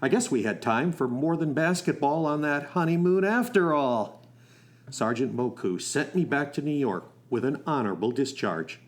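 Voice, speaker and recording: A man's voice: mid-range voice, expressive, moderate pace, close to an everyday voice. A man acting as a young driving instructor and soldier, telling his love story in a wry, folksy way for a radio play. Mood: wry, nostalgic. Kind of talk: first-person radio storytelling with acted dialogue.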